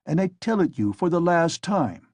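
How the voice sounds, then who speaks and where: A pompous police officer berates a woman in a loud, bullying tone, as a male reader acts him out. His voice runs a little higher and louder than the narration, with a husky grain. The sound is dry and close. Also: sing-song, normal speed, no room sound. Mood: stern scolding. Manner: shouting, bullying, contemptuous.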